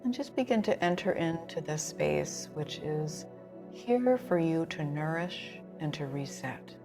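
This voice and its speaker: Low-pitched woman guiding relaxation. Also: animated, music in the background. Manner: soothing, unhurried instruction with pauses. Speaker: yoga nidra instructor. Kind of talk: guided relaxation meditation.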